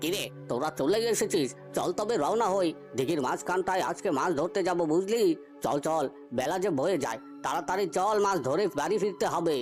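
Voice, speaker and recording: Actor as old village fisherman, mid-pitched, chatty, bossy but reassuring, cartoon dialogue, faint music. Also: expressive, a little fast, natural voice. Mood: reassuring, hurrying.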